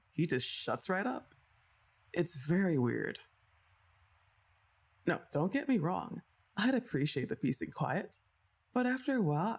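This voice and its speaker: A woman's voice: on the low side, darkish tone. A young woman voicing her best friend's older sister in an ASMR roleplay, chatting and teasing the listener and laughing often. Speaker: voice actress.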